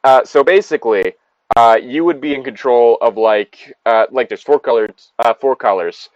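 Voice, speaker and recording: A man's voice: medium pitch, moderate pace, darkish tone, no echo, lo-fi sound. A man in a casual chat, rambling along and swearing freely.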